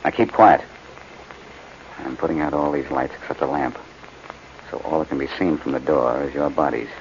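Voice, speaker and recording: An actor playing a sharp, confident amateur detective in a 1940s radio drama, brisk and wry. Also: male voice, very deep voice. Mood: commanding. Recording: dull, noisy 1940s radio recording.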